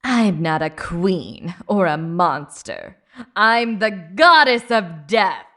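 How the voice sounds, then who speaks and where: A woman voicing a haughty goddess of death in a fantasy game, speaking deliberately. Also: on the low side, animated, pushed and bright, exaggerated voice. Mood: proud, menacing.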